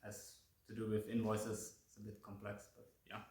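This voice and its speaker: Young male presenter, low monotone, hesitant, echoey room from a distance. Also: moderate pace. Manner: hesitant, many fillers. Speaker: youth peace group presenter. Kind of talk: informal presentation.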